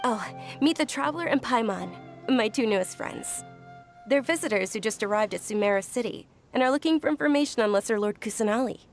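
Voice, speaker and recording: A woman playing a gracious, modest young noblewoman in a video game, speaking politely and gently. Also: a little high, expressive, a little fast, faint music behind.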